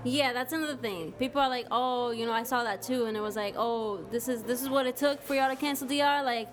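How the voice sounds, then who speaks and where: Brief: young woman podcast co-host from the Dominican Republic, outspoken and candid, voice on the higher side. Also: fast, music in the background. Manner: candid, outspoken, swears freely. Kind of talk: casual live podcast chat.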